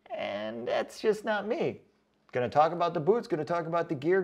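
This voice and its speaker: A man hosting a casual vlog, reading out comments in a chatty, joking way with sarcastic asides and drawn-out comic emphasis. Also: a little high, a little mellow. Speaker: online boot reviewer and video host.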